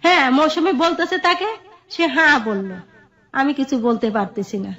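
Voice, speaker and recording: A woman's voice: high voice, animated, moderate pace, a bit bright. A middle-aged woman with a Bangladeshi accent, earnestly telling her life story in an interview, repeating herself and quoting conversations as she goes. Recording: dull, muffled lo-fi audio.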